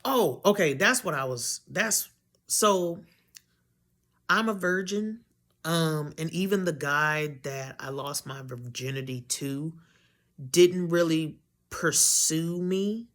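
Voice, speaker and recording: A young woman with a deep voice, talking candidly to camera in a rambling way, often losing her train of thought and correcting herself. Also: slow pace, fairly bright tone. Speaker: vlogger. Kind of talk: candid personal talk to camera.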